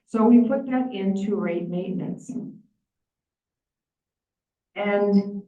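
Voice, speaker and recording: A woman's voice: medium pitch, slow, mellow. A woman giving a plain-spoken, explanatory presentation at a meeting. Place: large meeting room. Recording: echoey room, distant mic, dull sound.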